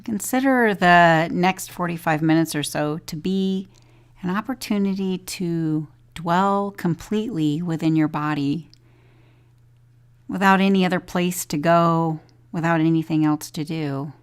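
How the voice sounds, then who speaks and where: A woman leading a guided body scan meditation as a teacher, giving gentle, unhurried instructions with long pauses. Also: lowish voice, clear, resonant tone.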